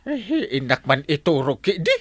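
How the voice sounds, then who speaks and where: An actor playing a lazy, sleepy village captain in a comic radio drama, stammering and evasive with an Ilocano accent. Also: male voice, on the high side, very animated, normal speed, no room sound. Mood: flustered. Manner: stammering, full of "eh" and "ay".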